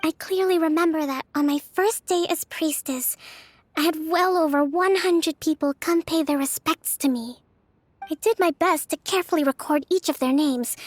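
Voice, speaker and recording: A woman voicing a gentle, introverted young priestess and strategist in a video game, soft-spoken, formal and thoughtful. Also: very high-pitched, no room sound. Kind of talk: video game character voice acting.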